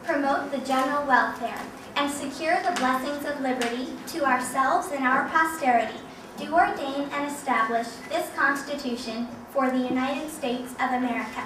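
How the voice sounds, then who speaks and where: Female guest reader, high pitch, formal recitation, noisy distant recording. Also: normal speed. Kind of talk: formal reading aloud of a legal document. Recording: very echoey, distant, clearly noisy.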